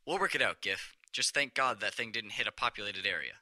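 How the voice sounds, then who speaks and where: An officious, stern male bureaucrat boss played by a man in an audio drama. His voice is very sharp and forceful, and he talks fast and curtly in a dry, close sound with cold condescension. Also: on the low side, animated, dry recording. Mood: stern, dismissive.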